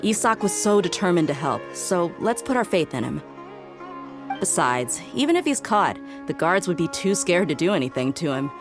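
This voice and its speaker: A confident female mercenary from a video game. Her voice is low, assured and commanding as she gives direction. Clear background music runs underneath. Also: sing-song, moderate pace. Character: confident, cool-headed female mercenary planner.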